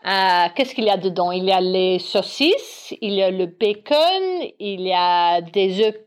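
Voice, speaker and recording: Woman in her sixties, interview guest, hesitant and halting, mid-pitched voice. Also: animated. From Northern Ireland. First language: English. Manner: hesitant, searches for words, many fillers. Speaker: guest, English teacher. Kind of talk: casual interview.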